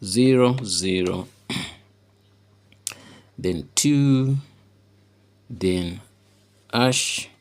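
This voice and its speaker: A man presents a casual how-to. He speaks off the cuff, stopping and starting and repeating phrases as he explains each step. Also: fairly low pitch. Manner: halting and repetitive, often checking understanding. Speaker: tutorial presenter. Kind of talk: informal unscripted how-to demonstration.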